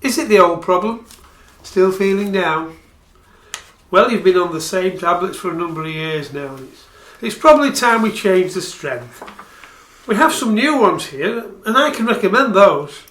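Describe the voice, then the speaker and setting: A man acting in a radio play as a sober, matter-of-fact character with a measured delivery. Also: sits high, animated, a bit bright. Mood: reassuring. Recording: echoey room.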